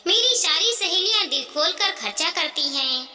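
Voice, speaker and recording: A woman's voice: very high-pitched, expressive, normal speed, pushed and bright, recorded from a distance, full character voice. A woman voicing a spoiled, stubborn young wife in a moral story, complaining and defiant. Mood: angry, self-pitying. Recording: long hall-like reverb.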